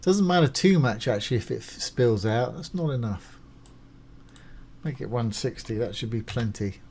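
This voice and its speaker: A man's voice: medium pitch, expressive, normal speed, a little mellow, a little hiss or hum. A man presenting a casual step-by-step screencast tutorial, informal and reassuring as he explains each step while doing it. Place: voice-over of a screen recording.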